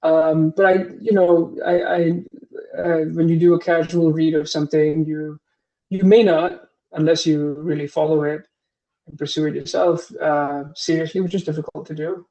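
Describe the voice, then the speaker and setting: A man's voice: a little high, flat delivery, moderate pace, mellow, muffled recording. A man in his thirties, from Singapore, answering interview questions as a guest professor, hesitant, with many fillers and restarts.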